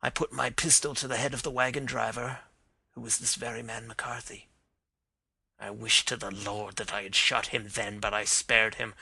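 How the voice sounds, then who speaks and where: A man's voice: mid-range voice, gravelly, pushed and bright, breathy edge, no echo, heavily acted. A man voicing a dying older man who pleads and confesses with emotion. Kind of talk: dramatized reading of a detective story.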